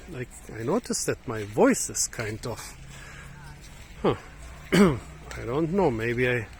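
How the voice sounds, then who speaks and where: A hoarse man, musing and halting as he thinks aloud while hosting a casual fruit-tasting vlog outdoors in a garden, recorded on a phone. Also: mid-range voice, slight background noise. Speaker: fruit channel host. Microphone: phone camera.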